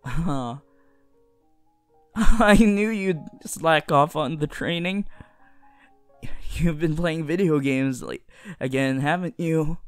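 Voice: mid-range voice, slow pace, pauses often, clean tone, no echo, faint music behind, natural voice. A performer voicing a shy, earnest, lovestruck teenage boy hero in an anime roleplay, stammering tenderly. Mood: gentle, encouraging. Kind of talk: anime fan audio roleplay addressed to the listener.

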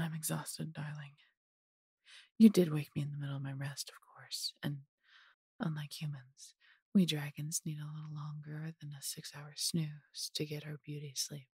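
A woman voicing a large, possessive but doting female dragon in an intimate fantasy audio roleplay, coaxing and teasing in a breathy, husky voice. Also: deep voice, little pitch movement, a little slow, mellow, no room sound, studio quality, full character voice. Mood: sleepy, tender.

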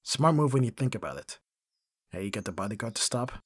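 A man's voice: medium pitch, big pitch swings, brisk, no echo, clean studio sound. A man playing an abrasive, brutally honest bodyguard in an intimate audio roleplay, speaking bluntly with heavy sighs. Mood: grim, blunt. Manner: gruff, sighing, swearing, blunt. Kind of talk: intimate ASMR roleplay acting.

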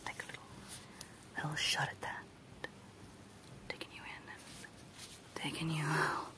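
A woman voicing a sinister, coaxing evil clown dentist in a breathy ASMR horror roleplay whisper, slow and menacing. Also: low voice, monotone. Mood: menacing, soothing. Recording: noisy close-up home recording.

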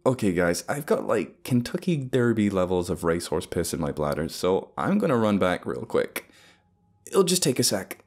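Story protagonist, man performing: foul-mouthed, sardonic, defiant, quick with crude wisecracks. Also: sits low, big pitch swings, a little mellow, exaggerated voice. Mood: casual joking. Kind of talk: dramatised first-person story dialogue.

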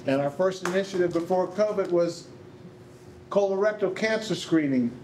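A man's voice: high-pitched, moderate pace, a little mellow, a little hiss or hum. A man making informal remarks to an audience at a community event. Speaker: barber speaking at community health event. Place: large bare concrete rehearsal hall. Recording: echoey room with crowd sounds.

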